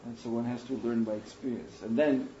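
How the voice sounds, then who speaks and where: Mellow-voiced man teaching in a roomy hall. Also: medium pitch, fairly even intonation, highs cut off, a little hiss or hum. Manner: thoughtful, unhurried, with pauses and soft laughs. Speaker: spiritual teacher answering questions. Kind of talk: question and answer talk.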